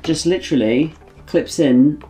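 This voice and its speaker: Young man, slightly high pitch, enthusiastic, casual vlog chat, faint background music. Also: unhurried, warm tone. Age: young adult. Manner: enthusiastic, exclaiming. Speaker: travel vlogger. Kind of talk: casual chat and explaining to camera. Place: wood-panelled living room.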